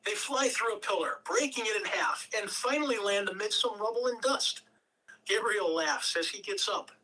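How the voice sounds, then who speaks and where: A woman's voice: medium pitch, normal speed, sharp tone, far from the mic, low-quality recording. A woman reading stage directions as scripted narration at a table read.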